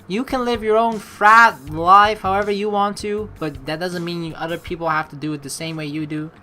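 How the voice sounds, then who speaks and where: A woman's voice: on the low side, fairly even intonation, moderate pace, bright, forceful tone, slight background noise. A young woman giving casual, slangy game commentary, reading aloud and reacting as she goes. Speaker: let's play host.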